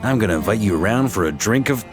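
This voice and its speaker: Casting: male actor for middle-aged knight leader in a game, confident and laid-back, animated delivery. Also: fairly low pitch, quick pace, loud music behind the voice, lightly acted. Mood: casual. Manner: breezy, commanding. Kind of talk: video game voice acting.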